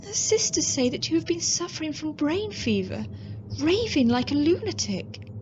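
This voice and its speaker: A devoted young Victorian woman in a radio drama. Her rather high voice is gentle, earnest and anxious. The sound is a little dull, with faint noise. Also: sing-song, moderate pace. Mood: anxious tenderness. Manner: tender, earnest. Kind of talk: radio drama acting and narration.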